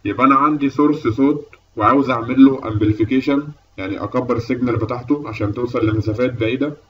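A man's voice: medium pitch, very fast, far from the mic, muffled recording. A man with an Egyptian Arabic accent giving a methodical, explanatory lecture as an instructor, step by step. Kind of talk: explanatory lecture over slides.

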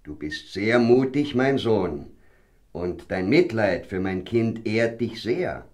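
An actor playing a kindly, grave old king in a fairy-tale audio drama, speaking in a measured, solemn way. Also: on the low side, lively intonation, darkish tone. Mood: grave, concerned.

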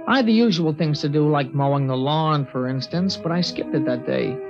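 An actor playing a thoughtful, earnest teenage boy who narrates a 1950s radio drama, from a dull old recording. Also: a little high. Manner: earnest, reflective, somber.

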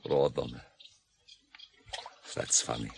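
Deep-voiced, polite foreign visitor. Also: male voice, fairly even intonation, extremely slow, creaky, exaggerated voice. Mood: curious. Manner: brief remarks sprinkled with English. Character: polite, admiring foreign visitor, English-speaking. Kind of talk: 1950s German radio play acting. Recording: noisy mono 1950s radio recording.

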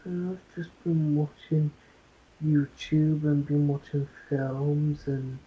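Husky sick man, tinny phone-like playback. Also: on the high side, flat delivery, slow pace. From United Kingdom. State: sick with a cough. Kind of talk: ASMR couple roleplay, intimate chat. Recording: tinny, narrow playback of a video.

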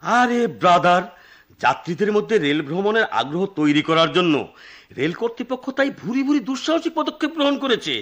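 An actor in a Bangladeshi radio drama playing a talkative, slyly satirical eccentric local at a railway station, rambling theatrically in a Bangladeshi accent. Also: male voice, high voice, big pitch swings, moderate pace, fairly bright tone. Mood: gleefully morbid. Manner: theatrical, rambling explanations with filler phrases. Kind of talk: Bengali radio drama acting.

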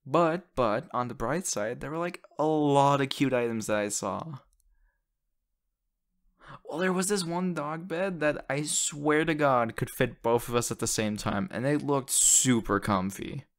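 A man playing an excitable, sappy young boyfriend in an audio roleplay, talking in a gushing, hesitant, stammering way into a close mic. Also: medium pitch, a little slow. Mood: excited, flustered. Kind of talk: ASMR audio roleplay, conversational acting. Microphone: close condenser microphone.

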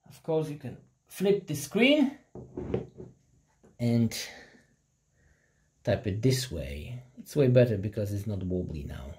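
A man in his thirties, not a native English speaker, casually reviewing a laptop in plain, halting English and apologizing for his language. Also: fairly low pitch, big pitch swings, a little mellow, echoey room. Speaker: tech reviewer. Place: hot room at home with a construction site nearby. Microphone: laptop built-in mic and camera. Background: buzzing construction noise.

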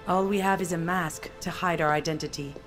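A woman's voice: on the low side, fairly even intonation, brisk, over music, close to an everyday voice. An actress playing a bitter, defiant female assassin in a video game, with a brooding, biting delivery. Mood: bleak, resigned. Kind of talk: dramatic video game acting.

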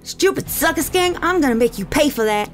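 A woman's voice: high voice, lively intonation, fairly quick. A woman voicing a feisty, protective demon girlfriend in a cartoon comic dub, combative and shrieking. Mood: furious. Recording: loud music behind the voice.

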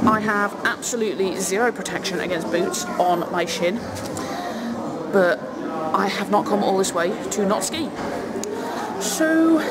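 A young woman vlogging to camera, chatty and self-mocking, rambling as she talks through her day from her car and then a changing room. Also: mid-range voice. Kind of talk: casual vlog to camera. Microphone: wired earphone mic. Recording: loud background noise.

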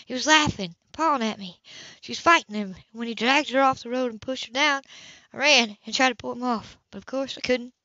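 A woman voicing a tough, wounded woman of twenty-eight in an audiobook, quietly and matter-of-factly recounting. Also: on the high side, fairly quick, dry recording. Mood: quietly haunted. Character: tough, wounded 28-year-old woman, controlled and unflinching. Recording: slightly dull home recording.

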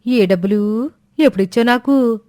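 A woman's voice: fairly high pitch, moderate pace, no echo, clean studio sound, lightly acted. A woman voicing a scheming, sweet-talking middle-aged village woman in a moral story. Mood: scornful. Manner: coaxing, then sharp and mocking. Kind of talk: Telugu storybook voice acting.

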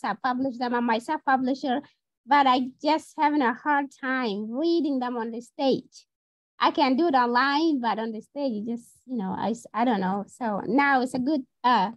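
High-pitched woman from South Sudan, shy poet, muffled dry call audio. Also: no room sound. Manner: shy, laughing, warm and hesitant. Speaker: poet attending open mic. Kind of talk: casual self-introduction on video call. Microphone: video call.

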